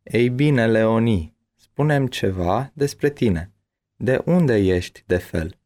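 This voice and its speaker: A man reading his part of a bilingual language-lesson dialogue with careful, deliberate enunciation. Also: medium pitch, animated, darkish tone.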